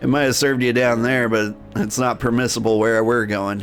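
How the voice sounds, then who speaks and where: A man's voice: mid-range voice, fairly even intonation, normal speed, soft background music, natural voice. Man doing a brusque, cold masked stranger. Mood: brusque, impatient. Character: cold, curt masked male stranger with a gun.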